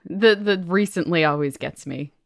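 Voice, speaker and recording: A woman's voice: lowish voice, normal speed, creaky, no echo, clean studio sound. A woman co-hosting a podcast in casual chat, playful, with jokey asides. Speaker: podcast co-host.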